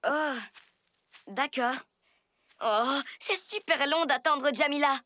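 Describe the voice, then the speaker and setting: A performer voicing an eager, dreamy young rabbit child in a French preschool cartoon, with expressive exclamations and an impatient but apologetic nature. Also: high voice, takes it slowly, dry recording, heavily acted. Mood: apologetic, fretful.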